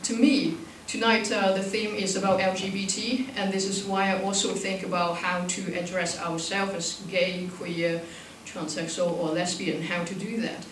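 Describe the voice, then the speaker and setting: Halting woman speaking in an echoey room. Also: mid-range voice, little pitch movement. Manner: halting, non-native phrasing. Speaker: panel speaker. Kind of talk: reflective discussion at a panel. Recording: echoey room, distant mic, faint noise.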